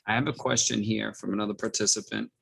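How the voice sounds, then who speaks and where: A man's voice: fairly low pitch, fairly even intonation, fast talker, gravelly, fairly bright tone, slight background noise. A man moderating a library talk over a video call, reading out audience questions politely. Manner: polite, reads questions aloud.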